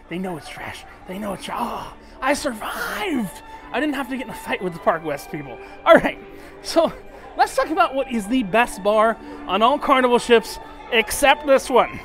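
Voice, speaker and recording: Tipsy male cruise vlogger, fairly high-pitched, blunt and profane, walking and talking to a handheld camera. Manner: blunt, swearing, ranting, drops to a mutter at times. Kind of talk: casual walk-and-talk vlog. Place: aboard a cruise ship, indoors.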